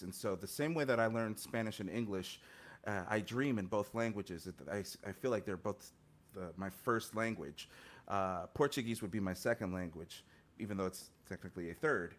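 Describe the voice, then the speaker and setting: A man in his thirties speaks earnestly into a studio mic at home, reflective and full of little restarts. His voice sits a bit low. It comes through a video call. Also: brisk. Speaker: guest musician. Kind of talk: conversational interview answers. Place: home music studio, indoors. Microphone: studio mic on stand, headphones, video call.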